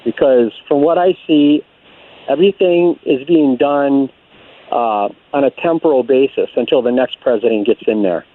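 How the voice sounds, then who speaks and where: A man with an American accent, a caller to a radio show, asking a question in a halting, concerned manner. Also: medium pitch. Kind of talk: unscripted call-in question. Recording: tinny phone line on radio.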